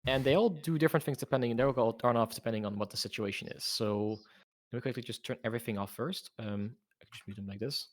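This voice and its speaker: A man's voice: medium pitch, quick pace. Insistent playful guy on a call. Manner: insistent, repeats words, playful. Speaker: friend on a voice call. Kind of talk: casual chat over a call.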